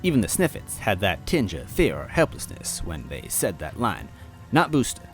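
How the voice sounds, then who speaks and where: A man reading a first-person story aloud in expressive, scripted narration. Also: lowish voice, moderate pace, soft background music. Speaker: story reader.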